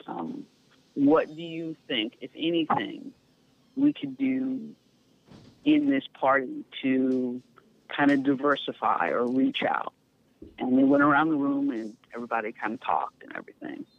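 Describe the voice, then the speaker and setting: Frank woman on a tinny line. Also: extremely low pitch. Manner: frank, lively, laughs easily. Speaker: podcast guest. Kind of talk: candid storytelling. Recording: tinny phone-line sound, dry.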